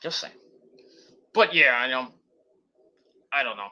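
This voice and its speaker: A man's voice: mid-range voice, animated, measured pace, pushed and bright, highs cut off. A man in his forties rambling casually at home, with many fillers, as he corrects himself mid-sentence. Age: 40s. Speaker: YouTuber. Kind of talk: rambling casual vlog chat. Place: home, at a desktop computer.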